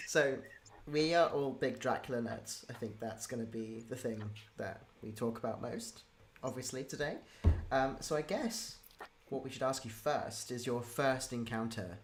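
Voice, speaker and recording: A man's voice: medium pitch, measured pace, background noise. A man hosting a casual interview, talking in an informal, rambling and enthusiastic way. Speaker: interview host.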